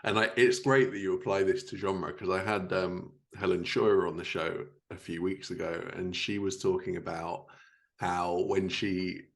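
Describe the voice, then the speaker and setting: A man's voice: low-pitched, little pitch movement, moderate pace, creaky. A man hosting a podcast, talking and asking questions in a casual interview style.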